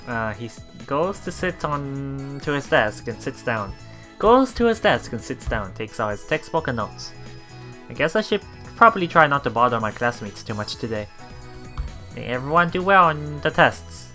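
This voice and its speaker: A man reading a visual novel aloud as a let's play streamer, haltingly, with repeats and self-corrections. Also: mid-range voice, sing-song. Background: soft game music.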